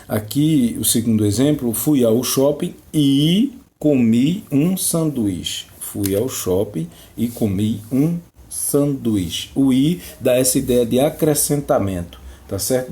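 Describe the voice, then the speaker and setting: A man's voice: mid-range voice, dark tone. A man teaching a grammar lesson over a screen recording, explaining patiently, repeating examples and checking in with his students. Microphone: phone or computer mic.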